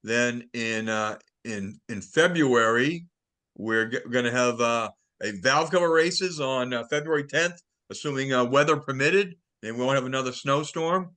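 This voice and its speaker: Bright-voiced man hosting a club call. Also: medium pitch, moderate pace. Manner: relaxed, many fillers when announcing events. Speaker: club meeting host. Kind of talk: casual conference call hosting.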